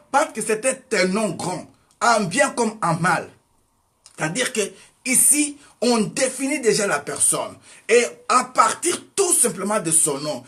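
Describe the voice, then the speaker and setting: A man preaching a sermon, asking his listeners question after question, his voice swinging between loud and soft. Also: high-pitched. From Congo. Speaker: evangelist preacher.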